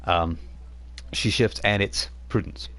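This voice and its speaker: A man's voice: on the low side, takes it slowly, fairly bright tone. A man running a tabletop role-playing game as game master, narrating in a rambling way with many fillers.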